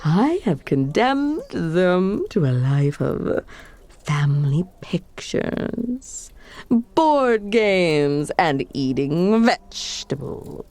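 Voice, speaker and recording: A vain, scheming evil sorceress, played by a woman, gloats through a comedic villain monologue. Her voice swoops widely up and down, and she drags her words out slowly. A gravelly rasp runs under her theatrical, whispery delivery. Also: on the low side, big pitch swings, darkish tone, no room sound, full character voice. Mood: triumphant.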